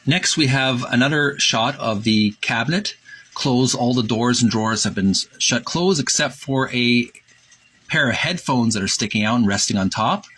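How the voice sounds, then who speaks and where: A man reading aloud and describing pictures as a presenter, with an informal, at times halting delivery. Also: medium pitch, fairly bright tone, slightly rough.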